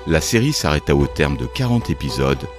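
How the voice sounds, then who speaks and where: Very deep-voiced male documentary narrator. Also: big pitch swings, a little fast, music in the background.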